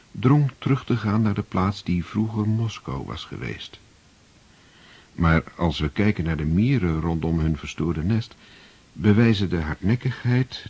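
A man narrating a radio drama in a slow, grave, measured way. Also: low voice, mellow, husky, lo-fi sound.

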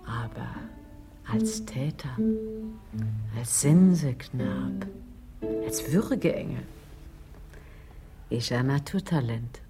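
An actress playing Death as a sardonic, world-weary lady in a radio play, with measured, mocking condescension. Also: rumbling low, lively intonation, loud music behind the voice, exaggerated voice. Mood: sardonic, musing. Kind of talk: radio drama acting.

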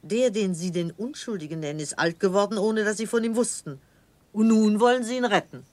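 An older actress in a German radio drama playing a severe, devout old aristocrat with a haughty, grave, theatrical delivery. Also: female voice, mid-range voice, brisk, darkish tone, dry recording, close to an everyday voice. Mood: grave, bitter.

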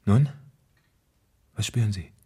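A troubled, fearful man in a radio crime play. His voice is low and husky. He speaks haltingly, repeating words as he confides his dread. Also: dark tone, heavily acted. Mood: anxious, tormented. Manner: hesitant, halting, repeating himself. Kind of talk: German radio crime drama acting.

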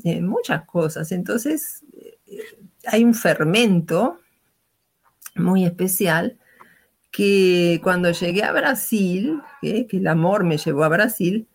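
A woman's voice: on the low side, lively intonation, slow pace, creaky, husky. A woman in her sixties with a Uruguayan Spanish accent, a retired teacher telling her life story in a hesitant, reflective way full of fillers and restarts.

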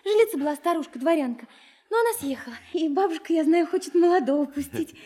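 High-voiced, impulsive young woman in love. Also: lively intonation, mellow. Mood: eager, chatty. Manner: breathless, emotional, halting, repeating words. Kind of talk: Russian radio play acting.

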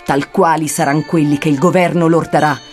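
Solemn poet guide played by a woman, lowish voice, declaiming dark prophecies in old verse, faint music underneath. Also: steady tone, normal speed, lightly acted. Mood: solemn, prophetic. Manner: declamatory, archaic rhymed delivery. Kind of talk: dramatic verse recitation. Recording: music behind the voice.

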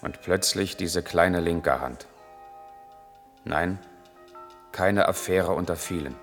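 A torn, evasive intellectual with a deep voice. He speaks deliberately and seriously in 1980s radio drama style, in a long reverberant space with music in the mix. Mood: subdued, intimate. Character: brooding male lover, an East German intellectual, torn and evasive. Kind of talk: 1980s German radio play acting, measured narration and intimate dialogue.

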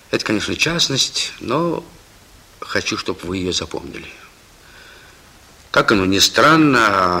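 A man's voice: fairly low pitch, pushed and bright, breathy edge, exaggerated voice. An actor in a Soviet radio drama playing a seasoned police chief, stern but fatherly, who admonishes and repeats his words. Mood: fatherly, earnest.

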